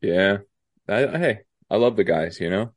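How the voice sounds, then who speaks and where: A young man with a stuffy, congested nose co-hosting a casual podcast chat, halting with many ums and restarts. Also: on the low side, animated, dry recording, studio quality. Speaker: podcast co-host.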